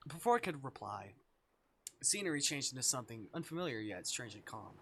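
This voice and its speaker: A man's voice: medium pitch, very animated, fast talker, husky. A man voicing an uneasy, confused young woman who narrates a visual novel in the first person, over music. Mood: awed.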